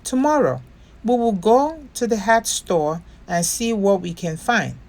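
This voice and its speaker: A woman reader playing a caring storybook woman who gently coaxes and comforts her little one. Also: mid-range voice, expressive, a little slow. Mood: encouraging.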